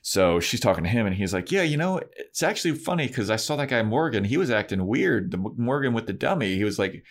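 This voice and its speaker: A man's voice: medium pitch, sing-song, fast. A man co-hosting a podcast, casually recapping a TV episode in slangy, informal storytelling and paraphrased dialogue. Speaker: podcast co-host.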